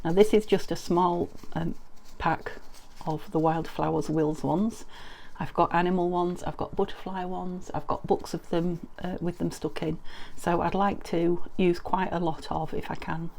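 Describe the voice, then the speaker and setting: A middle-aged woman from the UK with a croaky voice from a summer cold, chatting in a rambling, friendly way through a craft tutorial with many ums and restarts. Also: lowish voice, level tone, unhurried, few pauses, darkish tone, slight background noise. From United Kingdom. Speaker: crafting vlogger.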